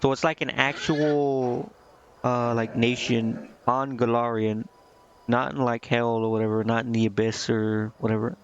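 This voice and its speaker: A man with a creaky, fry-laden voice streams a game, reading dialogue aloud and reacting offhand in slangy, informal language. His pitch sits in an ordinary male range. The sound is slightly dull and lo-fi. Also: little pitch movement, a little slow.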